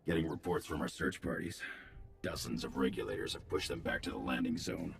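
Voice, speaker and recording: An actor playing a stern, loyal ex-mercenary officer in a video game, with a very gravelly voice and terse military manner. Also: male voice, very deep voice, expressive, fairly quick, distant mic, a little hiss or hum, heavily acted. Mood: grim, businesslike. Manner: terse, clipped, military reporting. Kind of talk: video game cutscene acting.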